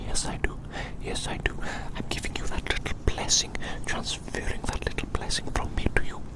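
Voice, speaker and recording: An ASMR performer whispering breathily and gently in a roleplay as a kindly, curious adventurer, often repeating phrases softly. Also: moderate pace. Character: kindly, curious adventurer fond of magical little creatures.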